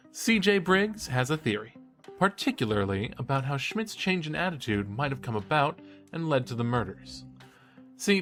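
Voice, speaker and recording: Animated young college guy podcasting. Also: medium pitch. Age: young adult. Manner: playful, casual, animated storytelling. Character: cheeky, enthusiastic male college student podcaster. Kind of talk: bantering, conversational podcast-style audio drama.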